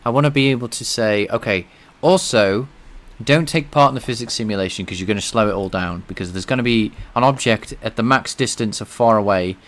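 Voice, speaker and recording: A man's voice: fairly low pitch, fairly quick, hardly any pauses, a bit bright. A man streaming himself coding, thinking aloud and chatting with viewers. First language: English. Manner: thinks aloud while coding, answers chat. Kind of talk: casual live-coding stream chat.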